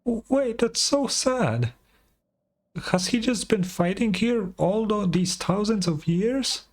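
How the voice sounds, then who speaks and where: A man voicing a small, excitable video game companion with a whiny, curious, exclamatory manner. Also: high-pitched, takes it slowly, pitched above the performer's own voice, exaggerated voice. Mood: sad, shocked. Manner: exclamatory, stammering.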